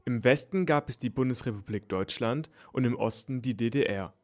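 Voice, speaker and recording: A man works as a newsreader, delivering scripted news items written for children. His pitch sits in the middle range for a male voice, and he reads in the style of a radio bulletin. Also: normal speed.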